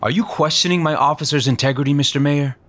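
A man's voice: a little high, quick pace, fairly bright tone, natural voice. A man playing an earnest, loyal police chief, deferential but firm, in an audio drama. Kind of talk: scripted audio drama acting.

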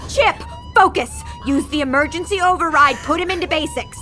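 A woman's voice: high-pitched, normal speed, pushed and bright, faint music behind. An actress playing a firm, earnest female colony commander in a sci-fi audio drama. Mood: urgent.

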